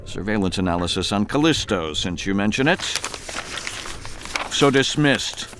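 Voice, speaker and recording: An actor playing a stern, unsentimental older intelligence officer in a radio drama, curt and measured in his authority. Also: on the low side, animated, background noise, lightly acted. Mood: curt, dismissive.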